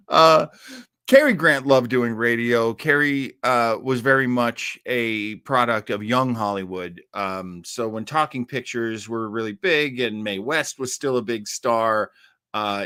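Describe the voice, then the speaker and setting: An American man hosting a listening party with casual live commentary, chatty and halting as he rambles. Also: medium pitch, sharp tone, smooth, dry recording, clean studio sound. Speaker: host of an old-radio listening party.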